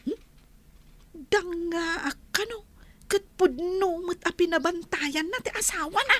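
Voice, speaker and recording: A woman's voice: very high-pitched, unhurried, fairly bright tone, no room sound. A woman playing a naive, tactless young household helper in a comic radio drama, with a Filipino accent. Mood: puzzled.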